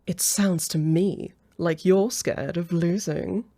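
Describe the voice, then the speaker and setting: A woman's voice: on the low side, fairly even intonation, slow pace, mellow. A woman playing a haughty, possessive aristocratic female vampire in a roleplay audio drama, flirtatious and mocking, with a condescending drawl. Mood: taunting, haughty. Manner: drawling, taunting, chuckling condescension.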